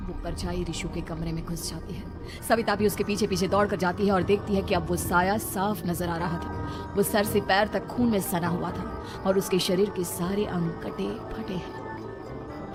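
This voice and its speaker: A woman narrator tells a cartoon horror tale in a dramatic, scripted way. Music plays clearly behind the voice. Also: fairly low pitch, fairly even intonation, moderate pace.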